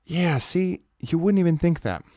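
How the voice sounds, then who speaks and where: A man's voice: on the high side, lively intonation, moderate pace, warm tone, no room sound. A man with a commanding, salesman-like voice chats casually to viewers while sketching on a livestream, rambling and correcting himself, close on the mic. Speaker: art livestream host. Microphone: microphone close to the face.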